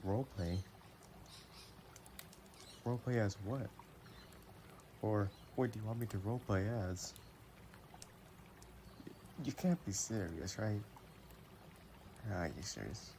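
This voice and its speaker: A man playing an affectionate, playful vampire boyfriend in an intimate roleplay audio, chatting in a gentle, teasing way. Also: on the low side, takes it slowly, pauses often, slight background noise. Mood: teasing, reluctant.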